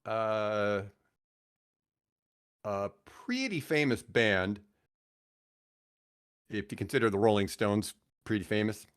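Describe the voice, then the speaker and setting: A man in his sixties with a fairly low voice, chatting as a guest on a podcast. He tells stories casually, with self-mocking jokes and little acted-out exchanges. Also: lively intonation, slow, long pauses, clean tone. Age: 60s. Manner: jokey, self-mocking, acts out quoted dialogue. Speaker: podcast guest, retired radio host. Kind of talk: casual anecdotal storytelling.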